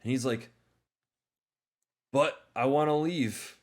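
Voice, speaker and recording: A man co-hosting a podcast, talking casually about films in a rambling, animated storytelling way with plenty of exaggeration. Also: medium pitch, slow pace. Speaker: podcast co-host. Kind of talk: casual movie discussion chat.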